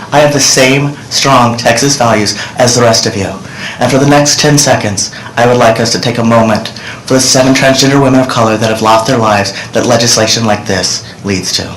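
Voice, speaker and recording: A man's voice: mid-range voice, a little fast, hardly any pauses, fairly bright tone, slight background noise. A middle-aged man giving earnest, direct testimony to senators from a desk microphone in a large hearing room. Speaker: witness testifying. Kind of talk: public testimony. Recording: roomy hearing room audio.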